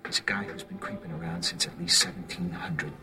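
An actor playing a matter-of-fact male investigator with a raspy voice. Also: sits low, flat delivery, brisk, pushed and bright, faint music behind, exaggerated voice.

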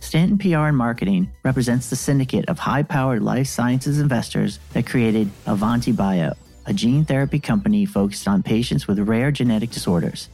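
A man with a dark, mellow, medium-pitched voice reads a scripted news roundup as presenter. Faint music plays behind him. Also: brisk, poor audio. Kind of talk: scripted industry news reading.

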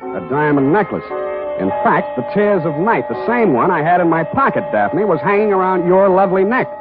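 An actor playing a cocky, sardonic hard-boiled private detective in a 1940s radio drama. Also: male voice, high-pitched, big pitch swings, normal speed, phone-quality sound. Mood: wry narrating. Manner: sardonic, wisecracking. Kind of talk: 1940s radio acting and first-person narration. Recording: band-limited 1940s radio broadcast with music.

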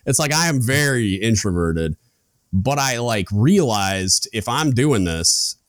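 A man hosting a podcast, talking frankly in a loud booming voice, rambling with lots of "like" and casual swearing. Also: lively intonation, takes it slowly, no echo, clean studio sound. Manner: rambling, heavy use of "like", frank and profane. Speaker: podcast host. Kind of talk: casual chat.

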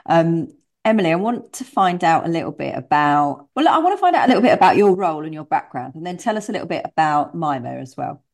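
A woman's voice: low-pitched, sing-song, fast talker, a little mellow. A woman hosting a podcast interview, talking in an enthusiastic, chatty, rambling way. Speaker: podcast host.

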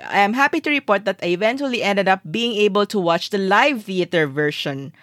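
A woman's voice: mid-range voice, expressive, brisk, fairly bright tone, dry recording. A woman in her thirties from the Philippines, chatting casually as a co-host and laughing often. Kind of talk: casual chat.

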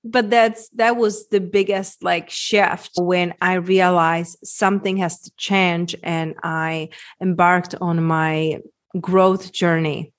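A woman's voice: lowish voice, steady tone, slow pace. A woman in her forties with a Czech accent, earnestly telling her personal story as a podcast guest, repeating phrases for emphasis. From Czech Republic. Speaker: podcast guest, women's transformation coach.

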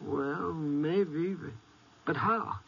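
An actor playing a frightened, stubborn old father with an American accent and a folksy, halting, pleading way of talking in a 1950s radio drama. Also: a little high, creaky, very noisy, heavily acted. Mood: wistful doubt. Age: older. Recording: muffled vintage 1950s radio recording.

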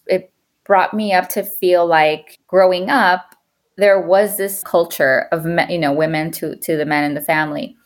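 Lowish-voiced mexican-born woman, earnest podcast guest mixing in spanish. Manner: earnest, switches into Spanish phrases. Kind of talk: interview conversation.

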